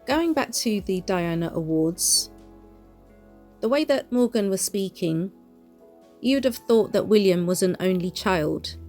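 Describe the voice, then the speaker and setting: A woman delivers opinion commentary with deliberate emphasis and open indignation. Her voice sits at an ordinary middle pitch. A faint bed of music plays behind her. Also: lively intonation, darkish tone. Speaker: commentary channel host. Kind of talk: opinion commentary to camera or voice-over.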